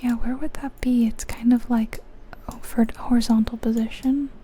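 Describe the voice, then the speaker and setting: A woman whispering softly in an ASMR style, musing aloud over a game in an unhurried way, close to the microphone. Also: a little high, fairly even intonation, a little hiss or hum. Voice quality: soft, breathy whisper. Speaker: ASMR video creator.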